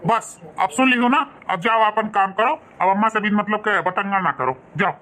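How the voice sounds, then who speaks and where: Man acting bright, high-voiced Awadhi village husband. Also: normal speed. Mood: exasperated. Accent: Awadhi, northern India. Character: placating village husband and son in an Indian cartoon. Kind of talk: rural family cartoon dialogue.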